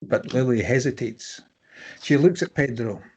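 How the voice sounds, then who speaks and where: A man narrates a screenplay, reading out scene headings and action. His voice sits at an average pitch and has a husky, unpolished grain. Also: measured pace, a little mellow, rough recording. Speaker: narrator reading stage directions.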